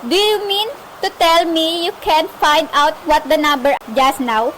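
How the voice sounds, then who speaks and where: A woman's voice: high-pitched, steady tone, unhurried, fairly bright tone. A woman playing a frantic, imperious telephone caller in an amateur suspense radio play, melodramatic and insistent. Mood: indignant, demanding. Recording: noisy amateur recording with faint hiss.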